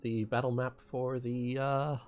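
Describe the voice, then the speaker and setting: A man casually narrating as game master of a tabletop roleplaying game, thinking aloud in a halting way. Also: mid-range voice, flat delivery, highs cut off, faint music behind.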